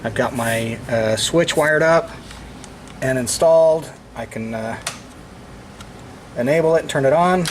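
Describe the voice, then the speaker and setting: A man giving an unscripted, halting step-by-step installation walkthrough, explaining as he works. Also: medium pitch. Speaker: DIY vlogger. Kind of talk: hands-on installation walkthrough, explaining as they work. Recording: clearly noisy location recording with ambient sound.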